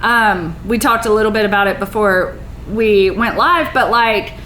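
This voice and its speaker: A woman's voice: medium pitch, normal speed, fairly bright tone, a little hiss or hum, low-quality recording. A middle-aged woman chatting casually and informally as an interviewer, with a self-deprecating manner. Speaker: podcast host interviewer.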